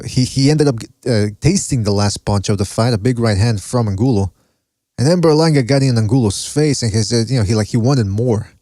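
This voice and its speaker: A man's voice: mid-range voice, mellow, dry recording. Male podcast host from Mexico with a mixed accent, loose and rambling, dry close sound. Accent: mixed foreign accent. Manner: casual, rambling, cursing, laughs often. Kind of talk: solo live-stream boxing chat, reading chat.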